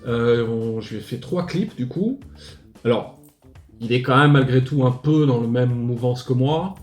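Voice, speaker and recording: A man's voice: mid-range voice, unhurried, a little mellow, reverberant, soft background music. A man in his forties from Paris, a musician guest talking casually with many hesitations and restarts. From Paris, France. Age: 40s. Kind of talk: casual interview chat.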